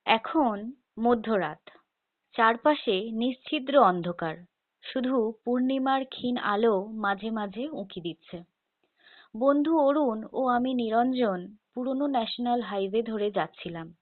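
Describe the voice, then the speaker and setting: A woman reading a scripted first-person ghost story in a measured, dramatic storytelling manner. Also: fairly high pitch, no echo. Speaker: horror story narrator. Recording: tinny phone-like narrow band.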